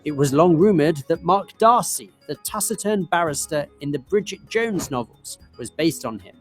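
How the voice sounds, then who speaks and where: A man reading scripted explainer narration in a crisp, measured way. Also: fairly high pitch, expressive, soft background music. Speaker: documentary narrator.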